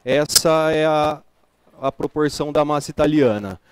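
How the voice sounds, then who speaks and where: A man's voice: on the high side, normal speed, smooth, no echo. A man, a chef giving a cooking demonstration, explaining step by step and checking in with the audience. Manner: explanatory, with tag questions.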